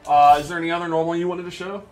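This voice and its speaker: A man's voice: on the high side, little pitch movement, very fast, faint music behind. A man giving casual gameplay commentary, explaining things enthusiastically. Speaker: presenter of a game character breakdown.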